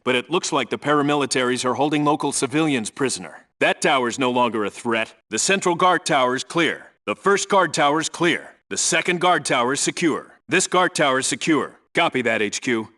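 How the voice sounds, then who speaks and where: An actor voicing a no-nonsense Navy SEAL team leader in a military video game, giving clipped, businesslike, authoritative reports and squad orders. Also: male voice, mid-range voice, animated, moderate pace, hardly any pauses, bright, forceful tone. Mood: focused, businesslike.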